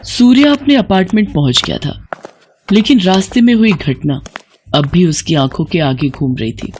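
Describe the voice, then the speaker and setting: A woman's voice: lowish voice, expressive, moderate pace, a little mellow, a little hiss or hum. A woman reading a scripted horror story as a dramatic narrator.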